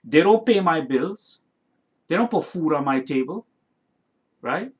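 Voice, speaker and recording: A man's voice: a little high, expressive, a little slow, slightly rough. A man with a North American accent tinged with Trinidadian, giving opinionated commentary as a video host in an emphatic, indignant way, often checking in with short tag questions. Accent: North American with some Trinidadian. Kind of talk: opinionated reaction commentary to camera.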